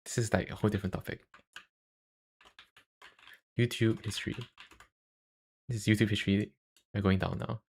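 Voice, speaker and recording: Man, young adult, Singaporean accent, slightly low creaky voice, slow halting delivery, casual self-deprecating stream chat. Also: little pitch movement. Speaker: YouTuber and live streamer. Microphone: cheap USB microphone.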